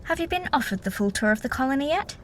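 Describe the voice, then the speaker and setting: An actress as a brisk senior doctor with a high, very lively, swooping voice. She is courteous and formal in an audio drama style, with faint ambience behind her. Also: slight background noise. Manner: formal, old-fashioned polite phrasing. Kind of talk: science-fiction audio drama acting.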